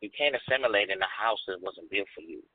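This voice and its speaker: Deep-voiced guy in his 40s, emphatic, keeps repeating himself for punch, thin phone-ish sound. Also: lively intonation, fast, slightly rough. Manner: emphatic, repeats phrases for stress. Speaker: guest. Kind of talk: candid podcast conversation. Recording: dry tinny phone-like audio.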